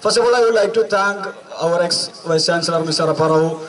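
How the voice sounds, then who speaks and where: Young male activist, Indian accent, high voice, emphatic rally speech heard from a distance over a PA, crowd around. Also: a little fast, a bit bright. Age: 20s. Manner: emphatic, repeats phrases. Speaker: student activist speaker. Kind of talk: impassioned political speech at a rally. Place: outdoor night rally stage. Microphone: handheld microphone through PA.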